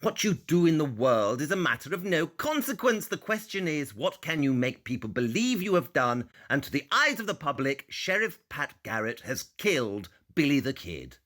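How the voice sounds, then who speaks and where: Brusque, brilliant detective, highly animated. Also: male voice, moderate pace, few pauses. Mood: intense, analytical. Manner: emphatic, brusque, analytical. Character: brilliant, impatient, cynical male detective. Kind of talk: audio play acting.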